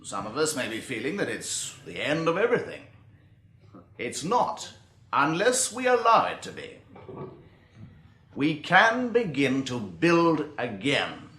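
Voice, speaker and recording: An actor playing an earnest, resolute committee chairman making a formal, measured address to survivors in a radio drama. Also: on the high side, wildly swooping pitch, fairly bright tone, exaggerated voice. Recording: roomy, echoing meeting hall.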